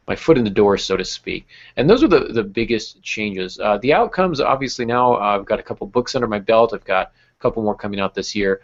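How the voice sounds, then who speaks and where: A man talking casually as a podcast host, feeling his way through his thoughts in a halting manner. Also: lowish voice, gravelly. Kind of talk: casual conversational podcast talk. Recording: dull lo-fi audio.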